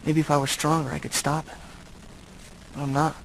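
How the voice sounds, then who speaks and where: An actor playing a brooding, tormented but noble centuries-old vampire in a video game, speaking in a grave, halting, earnest way. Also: male voice, fairly high pitch, level tone, fairly bright tone, slight background noise, low-quality recording. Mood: pained, confessional.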